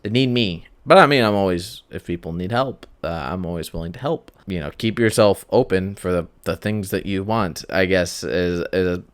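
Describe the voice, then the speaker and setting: A man hosting a podcast alone, talking casually and candidly in a halting, self-deprecating ramble that circles back on itself. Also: low voice, clean tone. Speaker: podcast host. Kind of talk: unscripted solo podcast monologue, casual chat.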